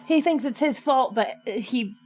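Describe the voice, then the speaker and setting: A woman's voice: sits high, lively intonation, a little slow, a little mellow, faint music behind. A woman playing a tabletop role-playing game, casually asking about the story.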